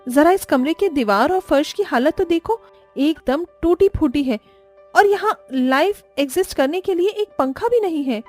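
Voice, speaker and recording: Spoiled, dramatic young bride played by a woman for animated family story; very high voice, exasperated, English exclamations, faint music. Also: normal speed. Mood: haughty, irritated. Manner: mixes English exclamations into complaints.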